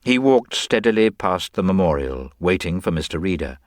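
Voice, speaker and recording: Low, animated male narrator. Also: moderate pace, dry recording, studio quality. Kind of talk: scripted dramatic narration of a crime story.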